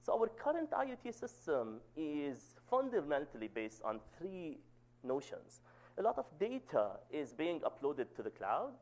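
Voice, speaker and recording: A man in his thirties with a loud voice, giving an academic seminar talk and often checking in with "okay?". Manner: says "okay?" to check in, lively pitch. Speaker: guest lecturer.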